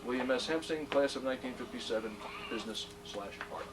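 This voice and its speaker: A middle-aged man presents at an induction ceremony, genial and fond of joking asides as he reads out a plaque. His voice sits at an average pitch. He is heard from a distance, with clear background noise from the room. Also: fast. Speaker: presenter at a hall of fame induction. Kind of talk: ceremony speech. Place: ceremony hall. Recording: distant mic, noisy room.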